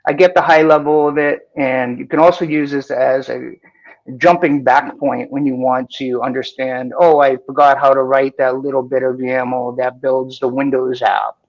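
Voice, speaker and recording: Guy from boston, faint accent, gravelly voice, bit muffled like a call mic, keeps explaining dev stuff. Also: mid-range voice, level tone, normal speed. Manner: enthusiastic, explanatory, with fillers. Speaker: guest developer. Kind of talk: technical talk-show chat.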